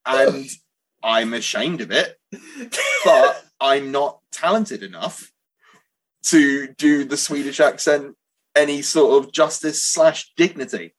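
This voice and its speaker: A man's voice: mid-range voice, takes it slowly, rough recording. A male actor, a guest on the show, telling a rambling, self-mocking comic anecdote.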